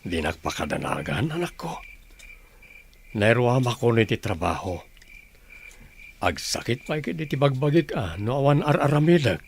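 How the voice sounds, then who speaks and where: A man's voice: mid-range voice, big pitch swings, moderate pace, gravelly, pauses often, slight background noise. A man playing a caring, hardworking father in a family audio drama, advising in a solicitous paternal way. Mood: concerned. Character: caring, hardworking father, gently advising his grown children.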